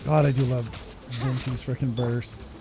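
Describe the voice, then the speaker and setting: A man giving casual gameplay commentary as a video host, excitable, sweary and informal. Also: mid-range voice, a little slow. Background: game music.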